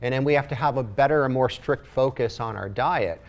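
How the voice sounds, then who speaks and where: Measured man explaining diet in interview. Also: mid-range voice. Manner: explanatory, measured, frequent restarts, light laughs. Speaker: guest nutrition coach. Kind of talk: casual interview chat. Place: studio.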